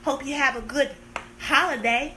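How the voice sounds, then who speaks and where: A woman's voice: sits high, moderate pace, noisy background. A woman in her thirties chatting on a live stream, playful and sarcastic, teasing her viewers with theatrical complaints. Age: 30s. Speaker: livestream host.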